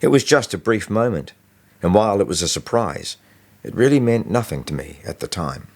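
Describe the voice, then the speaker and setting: Deep-voiced man narrating an audiobook. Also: sing-song, normal speed. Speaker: author reading own novel.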